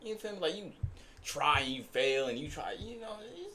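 A young man co-hosting a music reaction video in a bedroom, rambling in a relaxed way with lots of "you feel me.". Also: a little high, moderate pace, crowd noise. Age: young adult. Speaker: reaction channel co-host. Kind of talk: casual reaction chat. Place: bedroom, indoors.

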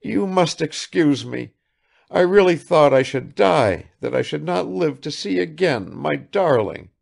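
A man's voice: a little high, sing-song, unhurried, creaky, pitched above the performer's own voice, exaggerated voice. A man reading aloud and voicing a tearful, doting little old woman who speaks in a quavering, faltering way.

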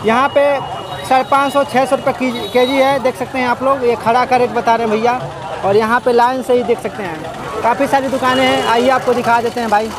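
A man's voice: very high voice, steady tone, brisk, background noise. A young man touring an outdoor livestock fair with a handheld phone, chatting to his viewers and repeating that they can see everything. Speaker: vlogger showing a livestock fair. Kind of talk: walking travel vlog commentary. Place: outdoor fair market among goat stalls. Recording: busy outdoor crowd behind.

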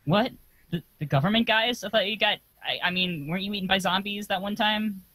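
A woman voicing an earnest, anxious young boy in an improvised cartoon parody, husky and hesitant. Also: mid-range voice, brisk, a bit bright, lightly acted. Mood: baffled. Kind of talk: improvised comic cartoon fandub.

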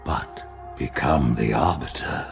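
An actor playing an old, scheming alien high prophet in a sci-fi game, imperious and deliberate with silky menace. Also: male voice, soft background music. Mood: calculating, persuasive. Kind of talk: cinematic sci-fi game acting.

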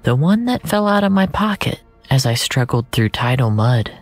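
A narrator delivering a scripted, surreal audio drama in a measured, dramatic way, often sinking into a breathy near-whisper. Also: medium pitch, sing-song, no echo.

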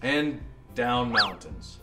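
A man reading a children's picture book aloud in an expressive, dramatic and deliberate way. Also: mid-range voice, echoey room, over loud music. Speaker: storyteller.

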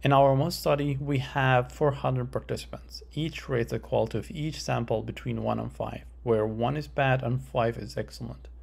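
Guy reading out a research talk, kinda gravelly fry in the voice, mid pitch, formal and measured. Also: steady tone, darkish tone. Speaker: researcher presenting a conference paper. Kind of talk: scripted academic presentation narration.

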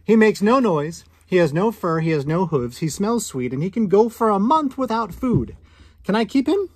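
A man's voice: high-pitched, very animated, moderate pace, darkish tone, slightly rough, no room sound, pitched above the performer's own voice, exaggerated voice. A man voicing an eager, lonely little boy pleading for a pet in a storybook. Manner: pleading, earnest.

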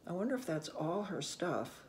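An older person reading a picture book aloud to children, gently and unhurriedly, with musing asides. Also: medium pitch, very animated, a little hiss or hum. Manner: gentle, unhurried, musing with soft hmms and ohs. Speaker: children's read-aloud host. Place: small room indoors at home.

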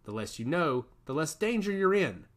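A blunt, no-nonsense woman scientist, as a male narrator puts her on, lifting his voice higher than his usual. She speaks firmly and clipped, with a lively rise and fall in every line, and turns harsh when pressed. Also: moderate pace. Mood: stern. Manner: firm and clipped, turning harsh. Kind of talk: audiobook character voice.

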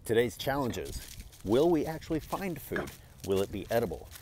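A man's voice: medium pitch, big pitch swings, moderate pace, a little mellow, slight background noise. A young man giving scripted, tongue-in-cheek voice-over narration for his foraging vlog.

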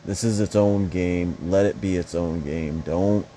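A man's voice: sits low, measured pace, warm tone, a little hiss or hum. A young man casually commenting on a mobile fighting game, rambling and swearing with joking asides.

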